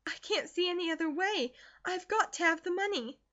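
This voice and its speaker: A woman's voice: very high-pitched, a little fast, pushed and bright, voice pushed up. A woman reading aloud and voicing an anxious, earnest teenage schoolgirl who pleads in distress, in a dull lo-fi recording. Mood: pleading.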